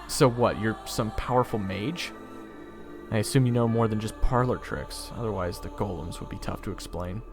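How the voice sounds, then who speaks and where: A man playing a young army private in a fantasy audio roleplay, casual, halting and dryly sarcastic. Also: on the low side, over music, lightly acted. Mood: dry, defiant. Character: young army private hero, unimpressed, cheeky and deadpan. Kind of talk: fantasy audio roleplay acting with first-person narration.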